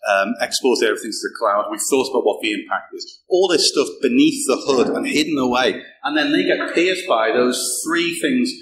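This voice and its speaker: A man's voice: mid-range voice, animated, moderate pace, few pauses, fairly bright tone. A middle-aged man giving a conversational technical talk at a conference, often restarting and repeating words as he goes. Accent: non-local British accent. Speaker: conference speaker. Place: small darkened event room, indoors. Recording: echoey room, faint background hum.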